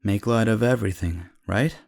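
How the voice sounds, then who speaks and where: A man voicing an earnest, caring, easily exasperated young protagonist in an anime-style audio drama, slightly husky. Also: on the low side, normal speed, darkish tone, dry recording, clean studio sound. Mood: gentle, supportive.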